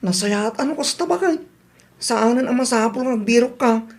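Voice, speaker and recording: A woman's voice: fairly high pitch, lively intonation, moderate pace, a little mellow. A woman playing an inquisitive spouse in a village household in a comedy radio drama.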